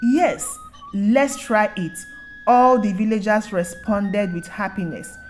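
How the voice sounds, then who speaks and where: A woman narrating a scripted folktale as a storyteller. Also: mid-range voice.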